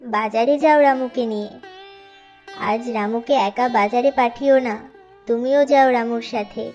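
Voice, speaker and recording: A woman's voice: fairly high pitch, normal speed, a little mellow, faint music behind, natural voice. A woman voicing a bustling village mother-in-law giving household orders in a cartoon. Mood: bossy, busy. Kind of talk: Bengali cartoon voice acting.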